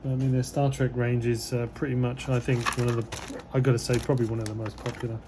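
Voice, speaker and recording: A man who is losing his voice a little talks casually through unboxing a model kit. His slightly hoarse voice sits at an ordinary pitch, and he rambles along with plenty of fillers. A faint hiss of background noise runs under the recording. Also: quick pace. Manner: relaxed and rambling, many fillers.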